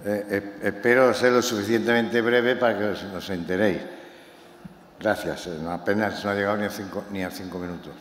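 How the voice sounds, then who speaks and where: A novelist from Málaga, presenting his book to an audience in a talk that is impassioned and halting, with many repeated words. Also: on the low side, steady tone. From Málaga, Spain. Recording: echoey hall, faint room noise.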